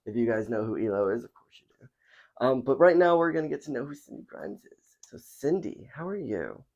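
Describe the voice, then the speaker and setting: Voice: mid-range voice, very animated, fairly quick, gravelly, darkish tone. A podcast host chatting casually and enthusiastically, rambling with many fillers, recorded indoors at a spiritual center. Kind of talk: casual interview chat. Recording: dull lo-fi recording.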